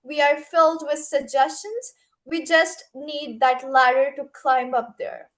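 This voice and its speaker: A woman's voice: high voice, unhurried. A young woman speaking as a youth panelist at a summit, giving impassioned, earnest and emphatic remarks. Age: young adult.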